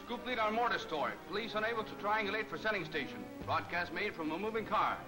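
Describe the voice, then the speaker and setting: An actor playing a brisk newspaper reporter phoning in a story in a 1940s film serial. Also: male voice, sits high, animated, low-quality recording. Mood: urgent. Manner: brisk, clipped newsman delivery. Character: fast-talking newspaper reporter, brash man. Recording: 1940s film soundtrack with loud music.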